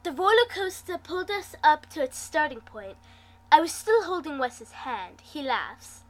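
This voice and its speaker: A woman with a high, bright voice reads a story aloud as an amateur narrator. Her delivery is dramatic and unhurried, slipping into acted dialogue along the way. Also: animated, poor audio. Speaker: amateur fan fiction reader, host.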